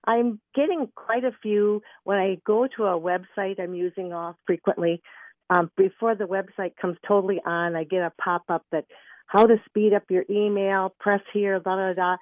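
A woman's voice: medium pitch, steady tone, moderate pace, dry recording. A woman calling in to a radio show, asking a question in a hesitant, rambling way. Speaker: caller. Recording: tinny phone line.

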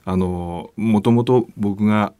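A man's voice: fairly low pitch, slow, warm tone, smooth, dry recording. A man speaking as a guest in a casual interview, explaining things politely. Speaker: guest, illustrator.

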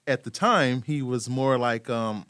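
A middle-aged man, a guest in an interview, talking about his son in a relaxed, rambling way with lots of fillers. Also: medium pitch, unhurried, smooth, no echo, clean studio sound. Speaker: guest, parent. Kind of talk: casual interview conversation.

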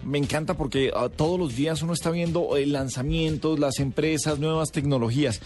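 Male radio host, slightly high voice, halting delivery with fillers. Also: darkish tone. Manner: halting, many fillers and restarts. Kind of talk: casual radio interview.

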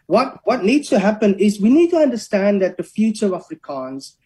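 An academic talks through ideas in a measured, lecturing way. The voice is mellow and warm rather than bright, and sits at a middle pitch. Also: big pitch swings. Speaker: linguist and academic. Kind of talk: explaining in an interview.

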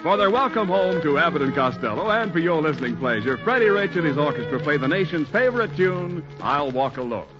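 A man's voice: fairly high pitch, expressive, brisk, few pauses. A man announcing a radio show in formal 1940s broadcast style. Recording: dull 1940s radio recording. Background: music.

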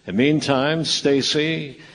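A man's voice: fairly high pitch, steady tone, takes it slowly, fairly bright tone, heavily acted. A man narrating a comic holiday radio play as its scripted storyteller. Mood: storytelling. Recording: reverberant hall, music underneath.

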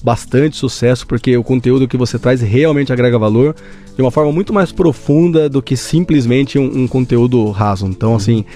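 A man from Brazil, a business founder, answers interview questions. His voice is mellow and warm, and his speech is earnest and halting. Faint music plays beneath. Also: mid-range voice. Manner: earnest, measured, many hesitations. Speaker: company founder, guest.

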